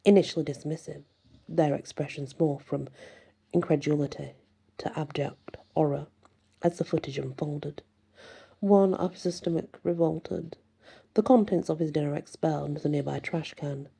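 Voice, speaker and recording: A woman reading a horror story in ASMR style, with a slow, dramatic delivery that drops toward whispers. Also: low voice, creaky, warm tone, slightly rough. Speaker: story narrator.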